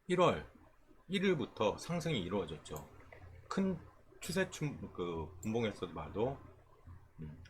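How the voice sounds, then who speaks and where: Low-voiced man teaching, very lively intonation. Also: slight background noise. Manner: explanatory, checks in with rhetorical questions. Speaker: stock trading instructor. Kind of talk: informal screen-share tutorial explaining stock charts.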